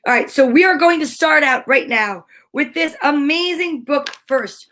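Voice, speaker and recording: A woman's voice: sits high, a little slow, sharp tone. A woman hosting a live children's story time, reading aloud and chatting to viewers in an upbeat, gushing, encouraging way.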